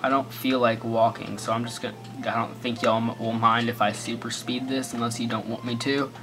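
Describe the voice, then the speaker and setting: A man's voice: mid-range voice, little pitch movement, brisk, pushed and bright. A man with an American accent giving goofy, rambling let's play commentary full of sarcastic jokes. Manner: joking, rambling, sarcastic asides. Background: faint game music.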